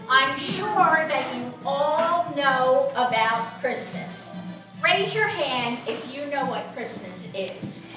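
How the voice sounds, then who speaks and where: Slow, high-voiced woman storyteller in an echoey room. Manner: slow, simple phrasing addressed to children. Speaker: storyteller and host of a children's puppet show. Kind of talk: storytelling to young children. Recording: very echoey room, distant mic, music behind.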